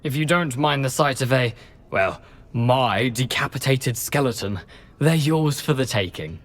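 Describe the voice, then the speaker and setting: A man's voice: mid-range voice, lively intonation, unhurried, close to an everyday voice. An actor playing a cheeky, wary young male ghost in a video game, with a wry, wordy, theatrical manner. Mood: wry, breezy. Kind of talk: video game character acting.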